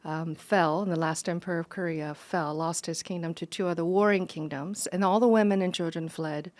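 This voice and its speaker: A middle-aged woman from Taiwan telling personal stories conversationally as an interview guest, animated and chatty. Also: fairly low pitch, brisk, no room sound. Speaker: interview guest, business leader.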